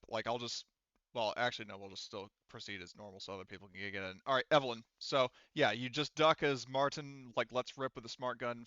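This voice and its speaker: A man's voice: medium pitch, a bit bright, clear, resonant tone, no echo, lo-fi sound. A man running a tabletop roleplaying game as game master, talking through rules and action in casual, sweary language. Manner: informal, swears freely.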